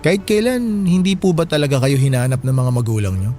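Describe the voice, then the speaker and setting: A man playing a polite, kindly Filipino working man in a radio drama, gentle and respectful as he speaks to an elder. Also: mid-range voice, brisk, lightly acted. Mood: gently curious. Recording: music behind the voice.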